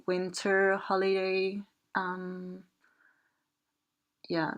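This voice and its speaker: A young woman from Denmark, a Danish native speaker, chatting softly and hesitantly with many fillers while she narrates a building session. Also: fairly low pitch. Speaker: Sims builder and YouTuber. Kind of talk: casual voice-over while building in a game.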